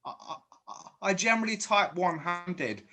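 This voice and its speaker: A man's voice: high voice, lively intonation, normal speed, sharp tone, soft background music. A man from Guernsey with a mixed Channel Islands and South Yorkshire accent, chatting casually as an interviewer and often restarting his sentences. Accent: British English mixing Channel Islands and South Yorkshire. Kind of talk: casual video chat.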